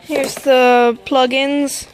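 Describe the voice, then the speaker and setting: Woman, fairly high voice, casual vlogger, informal unboxing commentary, muttered asides, faint noise in home recording. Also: flat delivery, drawn-out words. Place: indoors at home.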